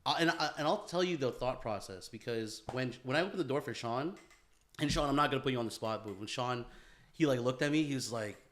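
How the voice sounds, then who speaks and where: A young man riffing on his podcast in loose, profane chat. He rambles and circles back on himself, laughing between thoughts. His pitch sits in a middling range. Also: fast. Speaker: podcast co-host. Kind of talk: casual chat.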